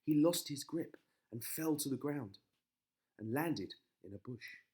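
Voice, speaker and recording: A man's voice: mid-range voice, expressive, measured pace, a little mellow, slightly rough. A man narrating a children's bedtime story as a dramatic storyteller.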